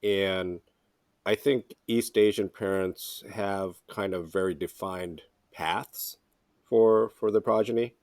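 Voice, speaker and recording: A man with a low voice puts questions to a guest as a podcast interviewer. His delivery is conversational, and the sound around him is dry, with no room echo. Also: steady tone, slow, a little mellow.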